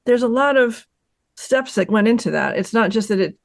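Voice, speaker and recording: Calm, reflective host on a video call. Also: medium pitch, moderate pace, vocal fry, no room sound, clean studio sound. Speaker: podcast host. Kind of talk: casual interview chat.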